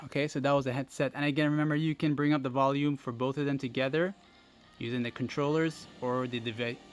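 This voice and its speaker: A man's voice: mid-range voice, fairly even intonation, quick pace, faint music behind. A man hosting a tech tutorial, talking viewers through steps in a casual, informal way and addressing them directly. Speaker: host of a phone tech tutorial channel. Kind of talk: casual step-by-step tutorial narration.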